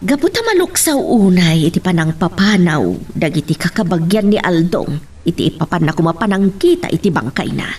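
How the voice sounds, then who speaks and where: A worried, excitable mother, voiced by an actress in a radio drama. Her voice is husky and rough, and her pitch moves about a great deal. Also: normal speed.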